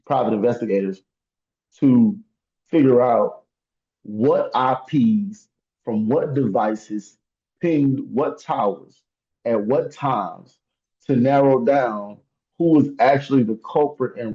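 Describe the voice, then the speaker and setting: Podcast co-host, male, heated and animated, mellow timbre, muffled recording. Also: medium pitch. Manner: heated, emphatic, laughs while ranting. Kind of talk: casual podcast discussion.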